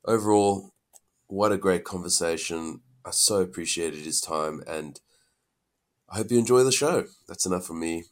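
A man's voice: sits low, moderate pace. A man hosting a podcast interview, upbeat and enthusiastic, sniffly from a cold. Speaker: podcast host.

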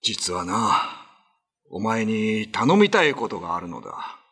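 A man's voice: lowish voice, big pitch swings, measured pace, sharp tone, husky, exaggerated voice. A man playing a troubled, resolute Ryukyuan king in a radio drama, speaking in stately, archaic and commanding phrases. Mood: regal welcome. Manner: stately, archaic, imperious speech.

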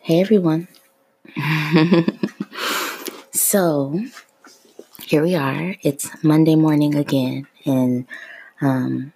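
A woman in her thirties hosting her own podcast in a relaxed, free-flowing chat, her voice still sleepy in the morning. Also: extremely low pitch, gravelly, slightly rough, no echo. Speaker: podcast host. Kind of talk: casual solo podcast chat.

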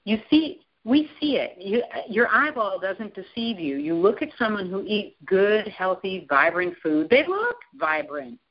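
A woman guest in an interview, talking with emphatic enthusiasm and often jumping up in pitch to stress a point. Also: sing-song, measured pace, few pauses, breathy edge, lo-fi sound. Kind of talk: animated interview talk.

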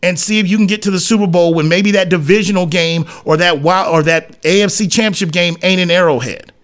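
A man with a notably high-pitched voice delivers an opinionated sports commentary monologue. He is blunt and emphatic, often repeating a phrase to drive it home. His wording is colloquial, full of slang and double negatives. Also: a little fast, a bit bright. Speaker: sports talk show host.

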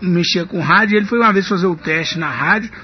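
A man's voice: sits high, fairly quick. A man hosting a radio interview, chatty and full of tag questions. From Minas Gerais, Brazil. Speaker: radio host. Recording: dull radio broadcast.

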